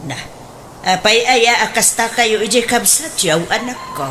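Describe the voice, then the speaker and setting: A woman acting a gentle, questioning mother of grown children in an Ilocano radio drama, with an Ilocano accent. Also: fairly high pitch, moderate pace, a little hiss or hum, lightly acted. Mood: gently reproving.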